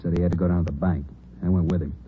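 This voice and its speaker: A factual officer, played by a man reports quickly in a very deep voice. The sound is a tinny old broadcast with faint hiss. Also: fairly even intonation, natural voice. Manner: clipped and factual. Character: male guard officer reporting. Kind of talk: 1950s radio drama acting.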